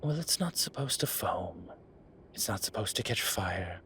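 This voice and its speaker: A man's voice: on the low side, animated, a little slow, sharp tone, slight background noise. A man playing a vain, suave incubus demon who poses as a posh professor in an audio roleplay, with a wry, self-satisfied drawl. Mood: exasperated, dry. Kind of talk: scripted audio roleplay acting. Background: ambient sound effects.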